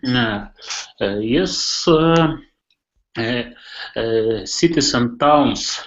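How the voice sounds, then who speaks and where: Hesitant man reading aloud slowly. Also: medium pitch, expressive, fairly bright tone, lo-fi sound. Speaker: language student.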